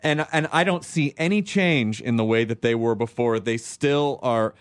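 A man's voice: mid-range voice, moderate pace, dry recording, clean studio sound. A man hosting a casual chat, joking and swearing freely, often restarting his sentences.

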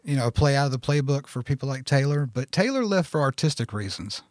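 A man's voice: mid-range voice, big pitch swings, fast talker, dry recording, clean studio sound. Folksy male podcast host. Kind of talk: casual interview chat.

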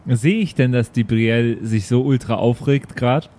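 A man's voice: mid-range voice, moderate pace, mellow. A man playing a tabletop game, casually reporting his rolls and actions. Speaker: tabletop player.

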